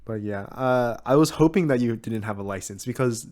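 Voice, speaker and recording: Chatty, laughing young podcast host. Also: male voice, medium pitch, brisk, darkish tone. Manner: upbeat, laughs often, lots of fillers. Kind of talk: casual chat.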